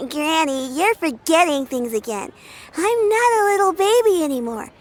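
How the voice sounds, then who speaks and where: Casting: a polite young granddaughter for a game, high childlike voice, earnest and expressive, music behind. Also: female voice, a little slow, a little hiss or hum. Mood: gentle, reassuring. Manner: hesitant, polite. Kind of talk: video game character acting.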